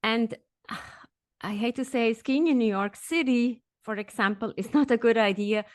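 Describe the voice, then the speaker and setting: A middle-aged woman who grew up in Switzerland, a podcast guest telling her story in an animated, upbeat way. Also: fairly high pitch, a little slow, no echo, studio quality. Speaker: podcast guest, executive coach. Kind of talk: conversational interview.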